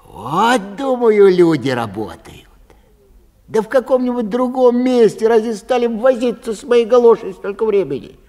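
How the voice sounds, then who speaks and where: Man acting an effusive, naive little citizen, swooping pitch. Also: very animated, moderate pace, warm tone. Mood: delighted, effusive. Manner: colloquial, earnest, effusive. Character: naive, pleading, grateful man who lost a galosh. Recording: long hall reverb.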